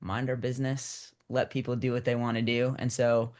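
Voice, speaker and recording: A man's voice: medium pitch, little pitch movement, normal speed. A man talking casually as a writer guest in an interview, informal and peppering his talk with like. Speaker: screenwriter guest. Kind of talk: casual chat.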